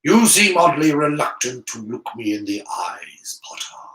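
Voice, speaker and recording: A man voicing a cold, sneering, angry schoolteacher in an audiobook story, slow and full of contempt. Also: mid-range voice, big pitch swings, fairly bright tone. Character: sneering, cold, angry adult male potions teacher. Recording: roomy echo.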